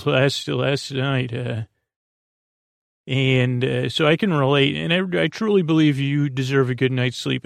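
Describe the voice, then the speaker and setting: A man with a creaky voice hosting a sleep podcast, rambling through a bedtime monologue with halting, stammered, repeated words. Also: medium pitch, no room sound, clean studio sound.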